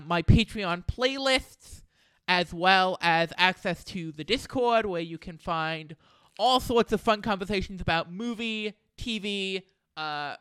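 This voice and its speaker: A man's voice: high-pitched, pushed and bright, clean tone, no echo. A man hosting a podcast, talking in a chatty, informal, rambling way. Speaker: podcast host. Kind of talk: casual podcast chat.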